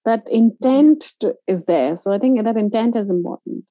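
A woman with an Indian accent speaks as an interview guest over dull, lo-fi audio. Her voice is mellow and mid-pitched, and her informal delivery halts often with hesitations. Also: no room sound. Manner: informal, halting, frequent hesitations. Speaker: interview guest, travel company founder. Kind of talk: casual interview conversation.

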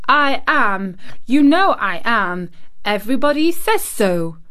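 A woman's voice: mid-range voice, lively intonation, moderate pace, no echo, natural voice. A woman voicing a petulant, sickly, self-pitying young boy in a storybook, with an imperious manner. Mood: self-pitying, indignant.

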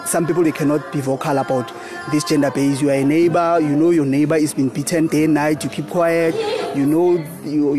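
Casting note: man from South Africa, theatre director, earnest, explains at length, music plays clearly behind him. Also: fairly high pitch. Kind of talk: interview talk.

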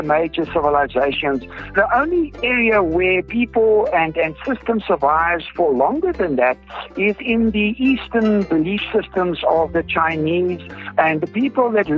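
A man from South Africa with an Afrikaans accent, a native Afrikaans speaker, explaining things earnestly as an expert guest in an interview. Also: high voice. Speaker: groundwater researcher, interview guest. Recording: dull lo-fi audio with music behind. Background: music.

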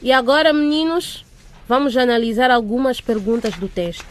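An actress playing a strict school headmistress who addresses a class with brisk authority in a radio drama. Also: female voice, fairly high pitch, close to an everyday voice. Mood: strict, businesslike.

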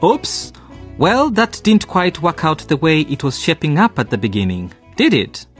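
A man's voice: fairly high pitch, huge pitch swings, moderate pace, soft background music. A male narrator wrapping up an educational radio drama in a chatty, wry manner. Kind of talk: scripted host outro.

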